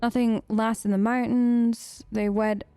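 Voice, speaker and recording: A woman's voice: fairly high pitch, little pitch movement, unhurried, warm tone, clean tone, no room sound, faint music behind. A woman hosting a game let's play, reading in-game lore aloud and breaking off with curious, puzzled questions. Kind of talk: gameplay commentary and reading in-game text aloud.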